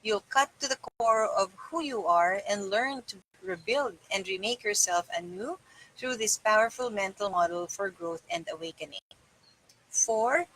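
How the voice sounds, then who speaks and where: A woman's voice: medium pitch, pushed and bright, a little hiss or hum, rough recording. A middle-aged woman reading a self-help book aloud in a small room indoors. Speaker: reader.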